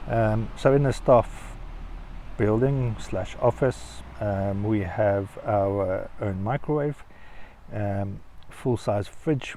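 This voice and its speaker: A man with a South African accent talking casually in a vlog, working through points in a measured, conversational way. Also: fairly low pitch, warm tone, slight background noise. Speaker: vlogger. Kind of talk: casual vlog talk to camera.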